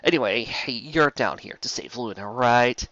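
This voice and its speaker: A man's voice: mid-range voice, a little slow, sharp tone, no echo, dull audio. A man voicing a mocking, serpentine chaos spirit with a sarcastic, drawling, theatrical delivery in a fantasy story. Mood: gleeful, theatrical.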